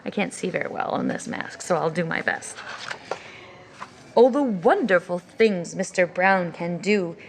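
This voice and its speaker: A woman's voice: lowish voice, a little slow, creaky, a little hiss or hum. A woman with an American accent giving an informal, explanatory talk as a teacher, presenting and reading children's books for English learners. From United States. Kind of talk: educational talk with read-aloud of picture books.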